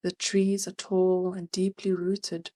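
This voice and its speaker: Slow-paced woman guiding a meditation. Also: lowish voice, completely flat delivery. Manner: gentle, soothing, unhurried with long pauses. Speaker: meditation guide. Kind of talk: guided meditation narration.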